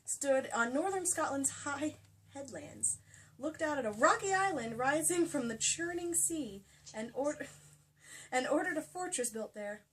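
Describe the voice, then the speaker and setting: High-voiced woman reading with wry asides. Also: lively intonation, unhurried, a bit bright, rough recording. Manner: jokey, breaks into laughter while reading. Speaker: co-host reading a romance novel aloud. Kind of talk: comedic dramatic reading with casual asides. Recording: faint background noise, amateur home video.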